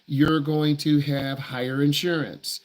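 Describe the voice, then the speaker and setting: A man's voice: on the high side, flat delivery, a little slow. A man who works as a driving instructor answers viewer questions on a live stream in a blunt, emphatic way. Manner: blunt, emphatic, repeats himself for stress.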